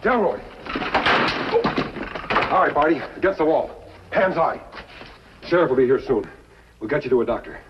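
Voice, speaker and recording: An actor playing a calm, authoritative masked cowboy lawman with deliberate speech in a 1950s western. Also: on the high side, noisy background, close to an everyday voice. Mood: commanding. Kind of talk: 1950s television western acting. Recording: dull old 1950s television soundtrack.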